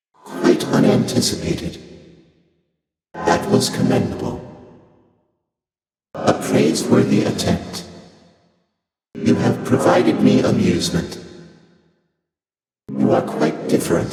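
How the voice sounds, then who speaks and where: An actor playing an ancient, otherworldly primordial deity in a video game, speaking formally and aloofly with dry amusement through a heavy reverb effect. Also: male voice, low voice, gravelly, heavily acted. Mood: detached, dry. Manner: formal, archaic, deliberate, aloof phrasing. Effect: heavy otherworldly echo reverb. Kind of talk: video game character voice lines. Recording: cavernous long reverb, loud music behind.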